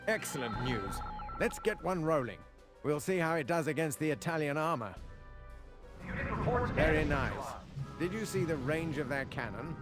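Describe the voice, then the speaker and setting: A man's voice: a little high, expressive, normal speed, long pauses, close to an everyday voice. An actor playing a genteel British army officer who briefs his colonel in a video game, proper and drily understated. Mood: pleased. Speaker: military adviser in a war game. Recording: loud music under the voice. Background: loud game music.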